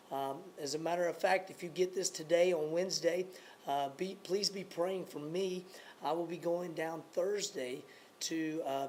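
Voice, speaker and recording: A raspy, hoarse man in his thirties speaks earnestly to camera, still recovering his voice after a long cold. His voice sits somewhat high, and he hesitates often with fillers. A faint hiss of noise runs under the recording. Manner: earnest, halting, many uh fillers. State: hoarse from a cold, voice partly gone. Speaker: campus church planter giving a supporter update. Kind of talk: casual video update.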